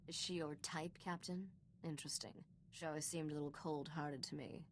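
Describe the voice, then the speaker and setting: Game role: sardonic female underworld contact, lowish voice, teasing and businesslike, faint noise beneath. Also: fairly even intonation, normal speed. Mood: wry, teasing. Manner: dry, sardonic. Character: wry, businesslike woman smuggler's contact, teasing and dry. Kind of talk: video game voice acting.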